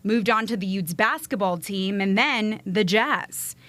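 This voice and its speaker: A woman's voice: medium pitch, measured pace, a bit bright, slight background noise. A woman TV reporter reading scripted narration for a news package.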